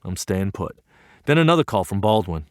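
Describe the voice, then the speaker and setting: An actor narrating as an earnest young student, telling his story in an earnest, wry and lively way. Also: sits low, moderate pace, no echo, studio quality. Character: earnest young Japanese American male student. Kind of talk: one-man stage play narration.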